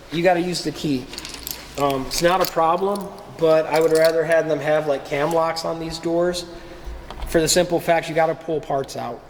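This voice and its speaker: A man's voice: a little high, slight background noise. Man fresh off a cold, echoey workshop. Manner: plain-spoken, lots of ums, hands-on explaining. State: getting over a cold. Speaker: small-business maker reviewing his laser cutter. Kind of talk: casual product review. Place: workshop beside the machine.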